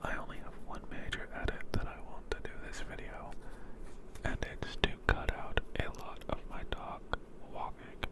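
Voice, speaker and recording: An ASMR creator at home, whispering softly in a meandering ramble, with a sore throat and a sniffly nose, into a handheld field recorder. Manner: soft, meandering, unhurried whisper. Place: home, indoors.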